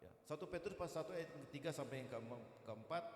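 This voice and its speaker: A man's voice: on the high side, expressive, fast talker. Echoey man preaching informally. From East Kalimantan, Indonesia. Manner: chatty and jocular, with repeated "gitu" tags. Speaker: guest evangelist and preacher. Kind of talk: informal church sermon. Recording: echoey hall, long reverb.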